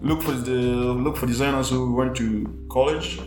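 A man's voice: medium pitch, normal speed, a little mellow, soft background music. Man from Tanzania, emphatic, echoey room. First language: Swahili. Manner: repeats words and restarts, emphatic. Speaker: podcast guest, designer and musician. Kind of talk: casual podcast chat.

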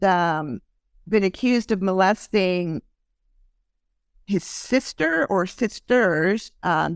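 A woman's voice: lowish voice, dry recording, studio quality. A woman hosting a podcast in casual interview chat, talking loosely with many ums and fillers. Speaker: podcast host.

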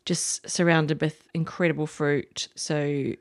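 A woman's voice: low voice, flat delivery, slow. A woman from New Zealand with a New Zealand accent, a chef chatting as a podcast guest, enthusiastic and rambling with frequent restarts. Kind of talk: casual interview chat.

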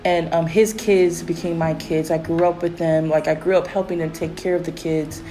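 A woman hosting a casual faith podcast, with a raspy voice and a rambling manner full of fillers. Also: deep voice, moderate pace, soft background music. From Pacific Islands. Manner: rambling, many fillers, animated when excited. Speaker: podcast host.

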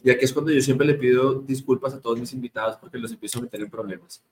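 A man's voice: mid-range voice, fairly even intonation, fast talker. A man with a Colombian accent hosting a conversational interview, speaking emphatically and directly to his guest and audience. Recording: noticeable background noise.

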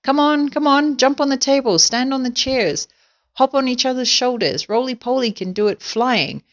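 A woman voicing a bossy, excitable male monkey ringleader in a storybook, giving urgent orders. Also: medium pitch, normal speed, lo-fi sound, lightly acted. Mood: frantic, urgent. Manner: urgent, shouted commands.

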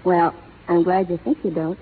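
A woman's voice: fairly low pitch, fairly even intonation, normal speed, soft background music, natural voice. A woman acting a proud, independent young frontier schoolteacher in a 1930s radio drama, speaking in a proper, theatrical manner. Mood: hesitant, earnest. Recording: narrow, fuzzy 1930s radio broadcast.

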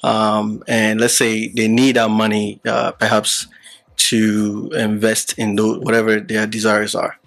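A man's voice: on the low side, monotone, a little slow, vocal fry, fairly bright tone. A man who grew up partly in Ghana, a podcast guest explaining things casually with many fillers and restarts. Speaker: podcast guest, app founder. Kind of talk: casual explanatory interview talk.

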